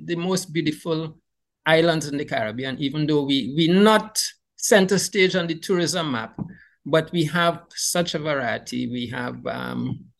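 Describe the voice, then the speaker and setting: A middle-aged man from Trinidad and Tobago with a Trinidadian accent, telling a warm, animated life story as a podcast guest. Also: gravelly. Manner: animated, rises in pitch when excited. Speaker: guest beekeeper. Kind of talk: storytelling conversation.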